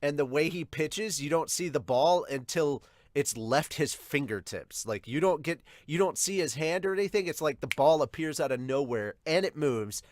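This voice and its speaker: A man's voice: a little high, animated, normal speed, hardly any pauses, dry recording. A man co-hosting an anime fan podcast, talking casually and bluntly in a rambling, sometimes sweary way to viewers. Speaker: co-host of an anime and manga podcast.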